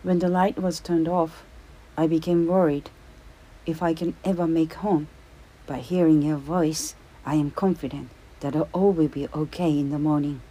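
A woman voicing a courteous adult male timber worker who is stranded far from home, speaking gently and hopefully. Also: deep voice, steady tone, moderate pace, breathy edge.

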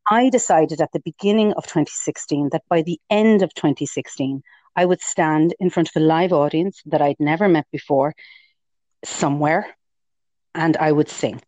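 A woman with a fairly low voice, telling her story as an interview guest in a chatty way, often quoting her own thoughts. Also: normal speed, dark tone, dry recording. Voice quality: lower voice for a woman. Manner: chatty storytelling with self-quotes and laughs. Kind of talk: casual interview chat.

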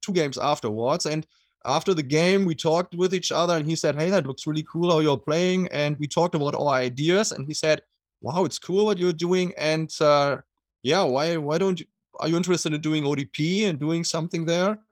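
A man's voice: on the high side, moderate pace, no room sound, studio quality. A middle-aged man with a German accent, a water polo coach talking in a casual interview, hesitant with many fillers and restarts.

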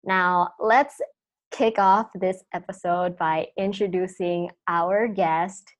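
A woman's voice: fairly low pitch, fairly even intonation, slow. A woman in her twenties hosting a podcast, chatting casually and explaining at length. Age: 20s. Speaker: podcast host.

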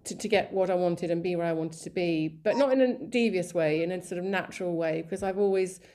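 A woman, talking as an interview guest. Her voice sits fairly low and sounds mellow and soft, and she rambles along chattily, circling back with fillers and restarts. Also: brisk. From Sri Lanka, raised in England. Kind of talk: casual conversational interview.

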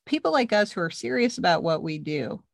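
A woman with a soft voice co-hosting a discussion, pausing often as she talks. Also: lowish voice, very animated, gravelly, dry recording, studio quality. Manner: pauses while speaking. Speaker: co-host. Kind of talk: conversational discussion.

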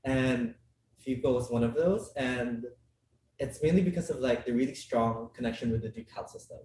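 A man's voice: medium pitch, moderate pace, a little mellow, far from the mic. A young man from the Philippines chats easily as a podcast guest, filling his talk with "um" and "like" and restarting his phrases. His voice sits in a middle register and comes from a little away from the mic in a roomy space with a faint hiss behind it. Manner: chatty, many fillers and restarts, laughs often. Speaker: guest, business school student. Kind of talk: casual podcast conversation.

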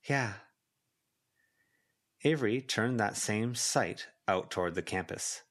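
A man's voice: lowish voice, moderate pace, a bit bright. A man reading scripted fiction as a volunteer audiobook narrator.

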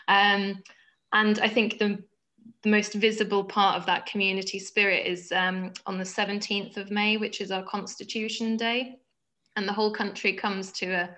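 Woman from Norway, guest on a call, chatty storyteller, crisp bright tone, little melody. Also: medium pitch. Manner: warm storytelling with fillers and laughs. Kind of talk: video call conversation. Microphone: video call.

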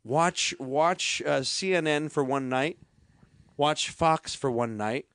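Gravelly rambling man in casual chat. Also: a little high, slow, no room sound, studio quality. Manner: rambling, animated storytelling with many restarts.

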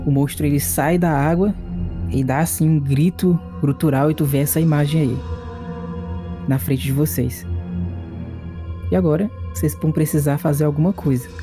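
A man's voice: a little high, steady tone, normal speed, long pauses, over music. A man with a Brazilian Portuguese accent, narrating a tabletop game as game master in a laid-back way.

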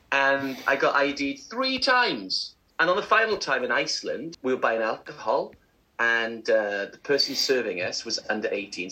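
Playful male co-host with a bright, lively voice. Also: medium pitch, rough recording. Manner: playful, laughs often, animated. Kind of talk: casual radio chat.

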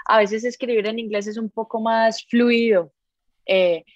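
A young Colombian woman musician, a guest in an interview, who talks in a relaxed and upbeat way, filling her speech with "pues". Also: fairly high pitch, unhurried, no room sound, lo-fi sound. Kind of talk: casual interview.

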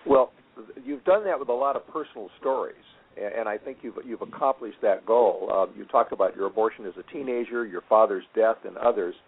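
Husky male radio host on a thin line. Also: on the low side. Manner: measured, hesitant with fillers. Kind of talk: radio interview. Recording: narrow radio broadcast sound.

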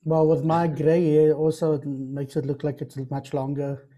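A male host with a very dark, warm tone leads a relaxed interview. His pitch sits a bit higher than most men's. He talks easily, with plenty of fillers. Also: moderate pace. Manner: relaxed, friendly, uses fillers. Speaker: podcast host. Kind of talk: casual interview chat.

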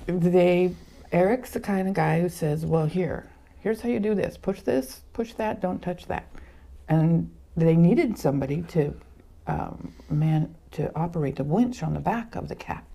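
A middle-aged woman born in Argentina and raised in Los Angeles, recalling her past with animated, laughing storytelling. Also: fairly low pitch, a little slow, gravelly. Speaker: interview guest, photographer. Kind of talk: casual storytelling.

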